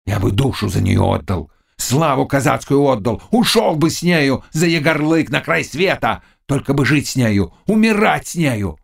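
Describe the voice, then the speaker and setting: A man's voice: mid-range voice, animated, normal speed, gravelly, voice pushed up. A man voicing a fierce, lovesick Cossack chieftain in an audiobook, shifting between brooding mutters and anguished cries. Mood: frenzied. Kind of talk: audiobook character dialogue.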